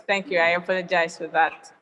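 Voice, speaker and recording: A woman's voice: lowish voice, little pitch movement, fairly quick, a bit bright, in a large hall, dull audio. A woman hosting a talk show, effusively praising her guest before asking the next question. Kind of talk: hosting an interview.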